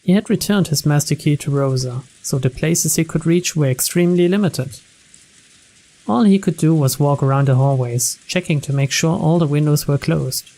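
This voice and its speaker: A man's voice: a little high, moderate pace, mellow, poor audio. A man reading a mystery story aloud as narrator, in a scripted narration style.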